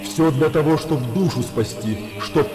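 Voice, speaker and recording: A man's voice: on the high side, unhurried, a crowd in the background, lightly acted, rough recording. A man playing an accusing inner voice that addresses a hermit, in a literary radio drama. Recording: loud music over the voice.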